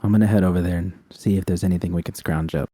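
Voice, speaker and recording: A man's voice: low voice, steady tone, dark tone, no echo, clean studio sound. A man reading aloud, voicing a tough, short-tempered woman mercenary who talks curtly and swears. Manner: curt and swearing, quick.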